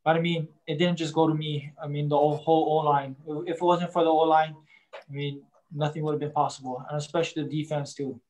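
A young man, a college quarterback, answering post-game press questions in a halting way, leaning on "I mean" and crediting his teammates. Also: on the high side, little pitch movement, darkish tone, poor audio. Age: young adult. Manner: halting, starts nearly every phrase with "I mean".

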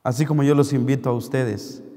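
A middle-aged man with a Mexican accent, a priest giving an animated homily at Mass, joking and scolding with rhetorical questions into a handheld mic. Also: medium pitch, a little fast. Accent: Mexican Spanish. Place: large church, indoors. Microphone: handheld microphone. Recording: long church reverb.

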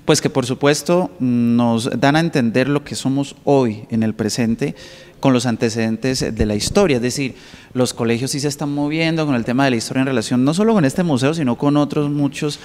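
A man interviewing for television asks long, winding questions in a mid-pitched voice. His voice carries a long reverb from the room around him. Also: normal speed, a little mellow.

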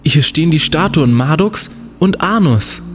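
An actor playing a clever, confident young student sleuth in a comic radio play, explaining things in a deliberate, declamatory way. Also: male voice, fairly high pitch, expressive, fairly bright tone, faint music behind. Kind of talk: German comedic audio drama acting.

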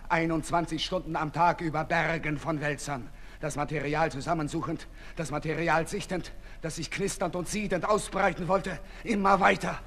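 A man's voice: fairly high pitch, sharp tone. A man playing an ailing, embittered revolutionary in a 1960s German radio drama, declaiming with intensity. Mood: weary, brooding. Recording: echoey hall, noisy 1960s radio recording.

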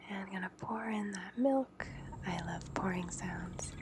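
Woman, whispered ASMR kitchen tutorial, slow gentle delivery, noticeable background noise. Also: mid-range voice. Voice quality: soft unvoiced whisper. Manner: gentle, unhurried, explains each step. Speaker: home coffee maker demonstrating. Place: home kitchen, indoors.

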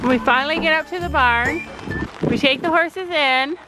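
Cheery Canadian mom vlogger, high voice, talking to the camera at arm's length. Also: female voice, moderate pace, rough recording. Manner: chatty, upbeat, talking straight to camera. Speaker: family vlogger. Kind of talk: casual vlog narration. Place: home and outdoor horse barn. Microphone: handheld camera at arm's length. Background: background music.

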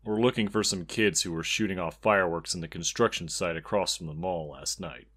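Folksy cop villain, lowish voice, smiling menace, intimidating audiobook dialogue played by a man. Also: brisk, fairly bright tone. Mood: probing, menacing. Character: policeman, folksy, falsely friendly, menacing adult villain.

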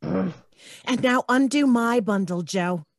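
A woman's voice: mid-range voice, lively intonation, slow pace, vocal fry, no echo, clean studio sound. A woman playing a shameless, thieving laundress in a radio play.

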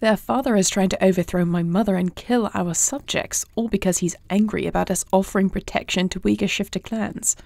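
Woman as a creaky, mellow, wary warrior princess. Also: medium pitch, fairly even intonation, dry recording, natural voice. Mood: stern. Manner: formal, measured, guarded. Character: wary, composed warrior princess, heir to throne. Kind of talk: fantasy audio roleplay acting.

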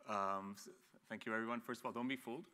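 A man in his thirties with a very low, bright and forceful voice, talking bluntly on a panel stage. He restarts and repeats words as he goes. His voice rings with the long reverb of a hall. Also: steady tone, quick pace. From grew up in Ethiopia. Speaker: panelist, agribusiness entrepreneur. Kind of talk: candid panel talk. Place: studio stage set, seated in an armchair.

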